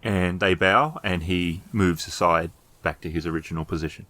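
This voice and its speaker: A man narrating a tabletop role-playing game as storyteller, improvising descriptions with hesitant pauses. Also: sits low, fairly even intonation, pushed and bright, dry recording.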